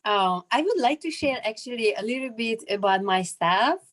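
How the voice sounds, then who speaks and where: A woman from Hungary in her thirties, a native Hungarian speaker and translator, sharing a personal story on a video call, halting and laughing often. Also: a little high, animated.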